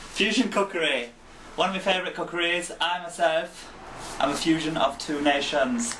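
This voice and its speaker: A man giving a comic cooking demonstration with a deadpan, mock-pompous manner. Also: fairly high pitch, moderate pace, noisy background. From England. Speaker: home cook. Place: home kitchen. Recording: distant mic, loud kitchen sizzle. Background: frying food sizzling.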